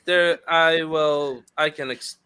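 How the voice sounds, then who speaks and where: A man's voice: fairly high pitch, steady tone, slow pace, sharp tone, clean tone. A man in a casual group chat, hesitant with lots of ums. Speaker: podcast guest.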